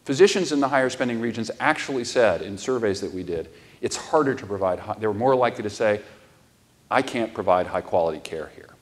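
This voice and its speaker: A man's voice: on the low side, fairly quick. Earnest man giving a keynote. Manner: earnest, explanatory, steady with frequent emphatic stresses. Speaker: keynote speaker. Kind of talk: conference keynote lecture. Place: large conference ballroom stage.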